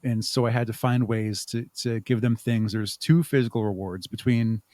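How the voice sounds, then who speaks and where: A man talking casually and thoughtfully as an interview guest, with halting phrasing. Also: medium pitch, steady tone, warm tone, no room sound. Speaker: podcast guest, audio drama creator.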